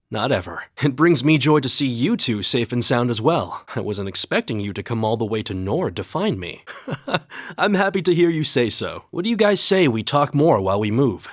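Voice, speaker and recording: A man voicing a calm, earnest young highland warrior in a video game, with measured, slightly formal phrasing. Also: dry recording, studio quality. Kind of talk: English video game voice acting.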